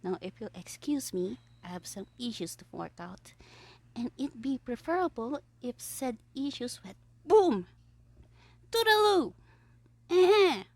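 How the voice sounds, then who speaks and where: A woman's voice: on the high side, big pitch swings, unhurried, dry recording, full character voice. A woman playing a mischievous, lovestruck young villain in an audio roleplay, scheming theatrically in a monologue. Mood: playful menace. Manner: gleeful, swinging between exclamations and hushed asides.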